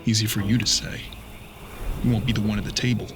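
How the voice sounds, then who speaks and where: A man's voice: lowish voice, flat delivery, fast talker, music in the background, lightly acted. An American man playing a doubtful but game young apprentice bounty hunter in a western audio drama, questioning with a sardonic edge. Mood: incredulous, worried.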